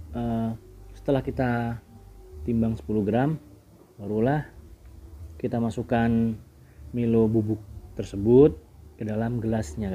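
Slow, dark-voiced man explaining a drink recipe. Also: mid-range voice, husky. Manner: relaxed, repetitive, keeps saying "guys", trails off quietly. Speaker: home cooking video host. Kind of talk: casual step-by-step drink tutorial voice-over.

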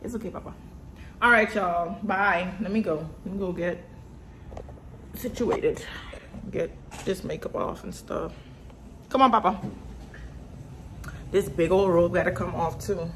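A woman's voice: on the low side, a little slow, long pauses, fairly bright tone, a little hiss or hum, rough recording. A young woman in her twenties, vlogging casually to her phone in a small bathroom at home, talking loosely and informally to her viewers as y'all. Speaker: vlogger, mother. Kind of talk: casual vlog chat to camera. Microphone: phone camera held close.

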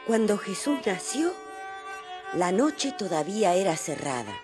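A woman's voice: mid-range voice, very animated, a little slow. An actress playing a mother who recalls her son's birth, narrating in a radio drama. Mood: tender reminiscing. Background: violin music.

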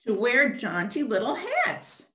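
A woman's voice: fairly high pitch, wildly swooping pitch, slow, sharp tone, a little hiss or hum. An older woman, a children's librarian, reading aloud and counting along in simple language aimed at young children over a video call. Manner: simple, child-directed, asks counting questions. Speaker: children's librarian hosting storytime. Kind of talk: children's storytime read-aloud and counting rhymes. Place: small room at home, indoors. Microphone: computer mic on a video call. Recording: roomy, echoey home video-call audio.

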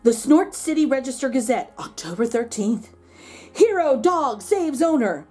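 A high-voiced woman reads a picture book aloud for children. Her delivery is expressive storybook narration, with faint music underneath. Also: measured pace, breathy edge. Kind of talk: expressive storybook reading with asides to young listeners.

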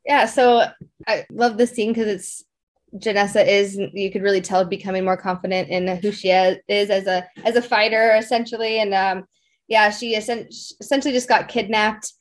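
Female interview guest, mid-pitched, chatty and effusive, informal phrasing full of fillers. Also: steady tone, moderate pace. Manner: effusive, peppers speech with like and you know. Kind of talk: casual interview chat.

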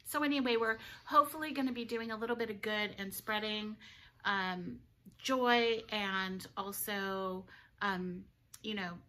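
A woman's voice: mid-range voice, measured pace, pushed and bright. A woman in her thirties who owns a yarn shop, chatting in a rambling, giggly way full of fillers. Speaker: yarn shop owner, co-host. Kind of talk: casual chat.

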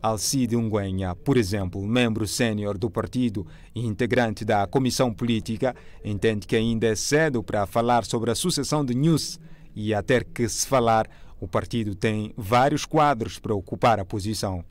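A man's voice: on the low side, moderate pace. A man with a Mozambican accent reading scripted narration for a television news report.

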